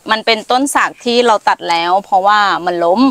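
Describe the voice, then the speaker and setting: A middle-aged woman giving an unhurried, explanatory walk around her farm, pointing out trees and their uses, with hesitant fillers. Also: mid-range voice, a bit bright. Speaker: farm owner showing trees. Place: outdoors on a farm among trees.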